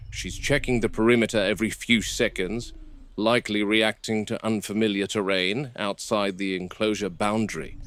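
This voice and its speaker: Low-voiced, methodical game warden played by a man. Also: close to an everyday voice. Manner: measured, methodical, matter-of-fact explaining. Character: serious seasoned male game warden logging work with dinosaurs. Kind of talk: found-footage field log narration. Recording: noisy VHS-style field recording.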